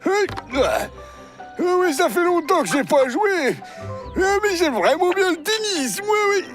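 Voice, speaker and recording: A chatty cartoon character with a high voice that rises and falls widely. The delivery is lively and inquisitive, made for a children's animated show. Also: female voice, a little slow, music in the background, full character voice. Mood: cheerful.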